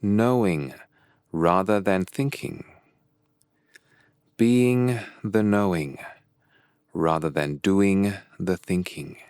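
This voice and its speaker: Slow, low-voiced man guiding meditation. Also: clean tone, no room sound, studio quality. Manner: calm, measured, deliberate phrasing with pauses. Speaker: meditation teacher. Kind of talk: guided meditation instruction.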